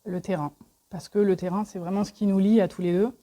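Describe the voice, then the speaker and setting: A woman's voice: medium pitch, fairly even intonation, fairly quick, mellow. A woman in her thirties from the Paris region, chatting enthusiastically in an interview about her village and her family's garage. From Paris region, France. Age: 30s. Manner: enthusiastic, chatty, frequent fillers. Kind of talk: casual interview answers.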